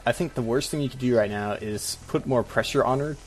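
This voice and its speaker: A man's voice: medium pitch, fairly quick. A man co-hosting a casual comedic advice podcast, wry and hedging, given to joking asides. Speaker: podcast co-host.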